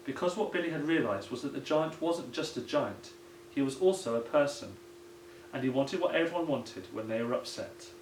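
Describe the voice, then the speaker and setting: A man's voice: mid-range voice, expressive, normal speed. A man, a primary school teacher, reading a children's story aloud. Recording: roomy echo, some background noise.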